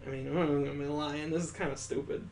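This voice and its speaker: A man's voice: a little high, fairly even intonation, quick pace, gravelly, darkish tone, slight background noise. A man hosting a gaming video, narrating a visual novel with improvised asides as he reads aloud.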